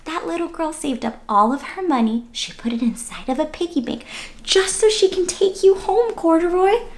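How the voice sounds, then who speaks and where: A woman's voice: extremely high pitch, animated, moderate pace. A woman hosting a children's read-aloud, narrating expressively with exclamations and questions to the listener.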